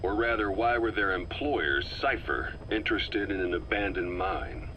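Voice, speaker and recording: An actor playing a terse, brooding middle-aged military commander, speaking deliberately as he ponders in a video game. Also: low-pitched, expressive, pushed and bright, a little hiss or hum, low-quality recording. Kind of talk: scripted game voice acting.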